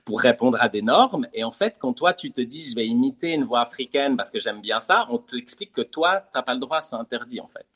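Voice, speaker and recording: A man's voice: medium pitch, animated, a little fast, hardly any pauses, a bit bright, slightly rough. A man, a comedian who also teaches theatre, talks casually in an interview. He hesitates often and keeps circling back with fillers and repeated words.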